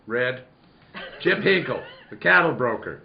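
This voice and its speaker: Man playing gravelly, cantankerous old rancher. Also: mid-range voice, big pitch swings, unhurried. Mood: grateful, earnest. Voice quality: gravelly, creaky. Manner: grumbling, rustic cowboy talk. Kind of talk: old-time radio western acting. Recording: roomy, dull, noisy recording.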